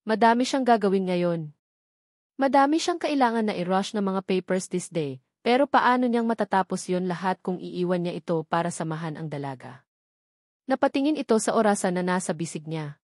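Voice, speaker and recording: A woman's voice: lowish voice, animated, moderate pace, darkish tone, no echo, studio quality. A woman reading a romance novel as a scripted audiobook narration.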